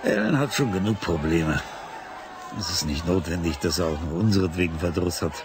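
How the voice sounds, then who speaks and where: A man's voice: low-pitched, expressive, a little fast. An actor playing a wry, wary middle-aged father in a radio play, with a dry manner. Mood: sober. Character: wry, wary wealthy father of grown daughter. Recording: loud crowd noise behind.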